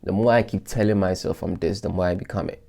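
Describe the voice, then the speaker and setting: A man with a very low, gravelly voice and a dark, mellow tone talks straight to his followers. He is reflective and loose, restarting his sentences and leaning on fillers as he thinks out loud. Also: fairly even intonation, fast. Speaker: vlogger. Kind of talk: casual motivational talk to followers.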